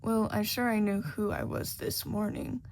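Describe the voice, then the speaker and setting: Bewildered man lost in a fantasy land, read by a woman. Polite, hesitant, plain, slightly gravelly, faint noise. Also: mid-range voice, level tone, normal speed, a little mellow, natural voice. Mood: polite, unsure. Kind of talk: storybook character voice.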